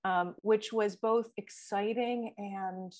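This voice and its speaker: A middle-aged woman from Italy, a guest in an interview, recalling her childhood in a measured, reflective way. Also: mid-range voice, fairly even intonation. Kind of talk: conversational interview.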